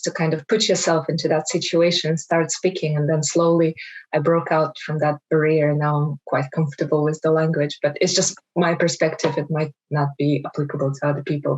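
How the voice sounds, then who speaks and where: Woman from Kazakhstan, native Kazakh and Russian speaker, lowish voice, chatty panelist on a video call. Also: poor audio. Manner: candid and chatty, with many fillers like "like" and "you know". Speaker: webinar panelist. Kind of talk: unscripted panel answer.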